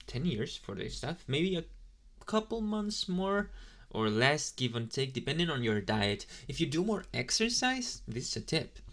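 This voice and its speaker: A man's voice: on the high side, big pitch swings, measured pace, a bit bright, heavily acted. Man playing a rambling, apologetic young demon intern. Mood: explaining, reassuring. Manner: rambling, hesitant, casually chatty with slangy asides. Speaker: ASMR roleplay performer. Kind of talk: ASMR boyfriend roleplay, addressing the listener.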